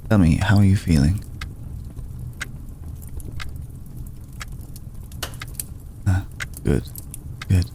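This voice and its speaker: A man playing an ancient, aristocratic male vampire in an ASMR roleplay, formal, courteous and brooding, with a soft, gravelly voice and deliberate pauses. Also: low voice, slight background noise. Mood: gentle concern. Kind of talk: ASMR roleplay, slow intimate acting.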